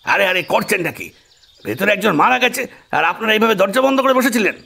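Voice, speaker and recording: An actor playing a bossy, exasperated police officer in an audio drama, barking orders impatiently in a raspy voice. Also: male voice, high voice, very animated, a little fast, pushed and bright, heavily acted. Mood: indignant.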